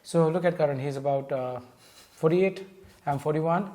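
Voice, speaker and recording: A man in his forties hosts a relaxed chat, teasing his guest with a chuckle. His voice sits a little high. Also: moderate pace. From India. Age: 40s. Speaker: podcast host. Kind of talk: casual chat.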